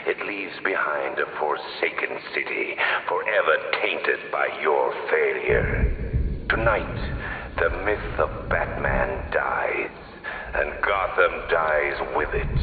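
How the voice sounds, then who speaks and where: A man playing a menacing, coldly intellectual supervillain in a video game, delivering grandiose monologues in a deliberate, sinister way with a breathy, hushed edge. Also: a bass voice, phone-quality sound, background noise, exaggerated voice. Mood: menacing, gloating, then grandiose, triumphant. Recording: echoey, band-limited radio-like sound, loud music. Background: loud music.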